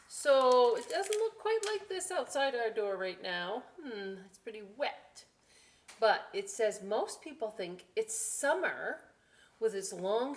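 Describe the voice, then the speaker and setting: Slow-paced woman reading a children's story. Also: a little high. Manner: expressive, drawn-out reading with conversational commentary. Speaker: library story time reader. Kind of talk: read-aloud to children with chatty asides.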